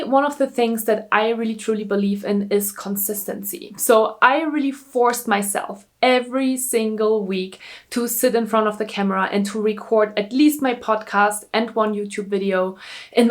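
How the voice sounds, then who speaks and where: A young German woman whose first language is German, chatting upbeat to camera in her vlog with a German accent, repeating words for emphasis. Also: medium pitch. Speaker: YouTuber. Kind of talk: casual talking-to-camera vlog. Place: indoors, seated in front of a camera.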